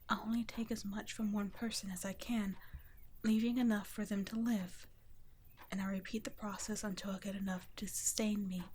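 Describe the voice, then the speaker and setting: A woman voicing a gentle, guilt-ridden vampire guardian in an ASMR roleplay, speaking in a soft, breathy half-whisper with a hushed, halting, tender delivery. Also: mid-range voice, a little hiss or hum, natural voice. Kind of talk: ASMR roleplay, scripted intimate monologue.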